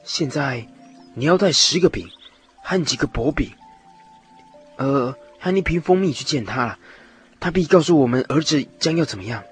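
A man's voice: on the high side, expressive, normal speed, pauses often, slightly rough. An actor playing an anxious, scheming king and father, speaking with dramatic urgency in a radio drama. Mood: urgent, instructing. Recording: music behind the voice.

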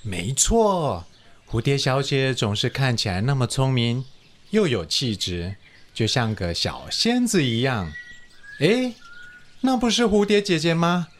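A woman voicing an excitable, polite bee in a children's radio play, with drawn-out, exclaiming delivery. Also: extremely low pitch, heavily acted. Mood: admiring, friendly.

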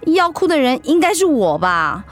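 An actress with a Taiwanese accent playing an upbeat, teasing wife in recovery from illness in a radio drama, playful and reassuring. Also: female voice, sits high, big pitch swings, moderate pace. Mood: candid. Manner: playful, reassuring, chiding her husband. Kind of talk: Mandarin radio drama acting.

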